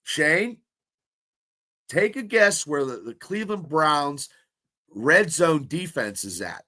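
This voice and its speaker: A man co-hosting a sports podcast, talking in excitable, rambling bursts full of restarts, with a stuffy nose. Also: a little high, takes it slowly. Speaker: podcast co-host. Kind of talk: casual sports podcast chat.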